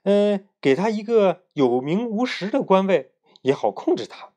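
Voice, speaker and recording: A shrewd old celestial advisor from a storybook, played by a male storyteller, coaxing and persuasive in a raised, lively voice. He talks around the emperor smoothly. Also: high voice, normal speed, voice pushed up.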